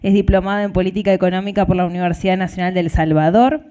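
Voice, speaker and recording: A woman moderating an event, formally introducing the next speaker and reading slowly and clearly. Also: on the low side, steady tone, warm tone.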